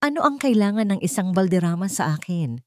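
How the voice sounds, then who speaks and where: A woman's voice: mid-range voice, lively intonation, a little fast, dry recording, close to an everyday voice. A woman narrator voicing a bitter, guarded female forensic expert in a dramatic audio storybook. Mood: cold, sarcastic. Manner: cold, sarcastic.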